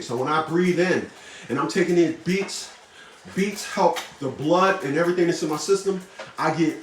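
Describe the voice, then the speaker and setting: A man's voice: mid-range voice, roomy sound, recorded from a distance, a little hiss or hum. A man in his fifties talking casually to the camera, blunt and boastful, swearing and repeating himself. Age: 50s. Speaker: vlogger.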